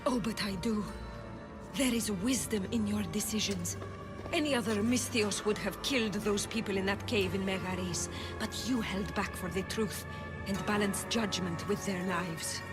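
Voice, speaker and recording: A woman acts out a mysterious oracle in a video game. She speaks in a medium register with solemn, ceremonial weight. Loud music swells behind the portentous delivery. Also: medium pitch, normal speed, lightly acted. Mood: knowing, grave. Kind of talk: video game drama acting.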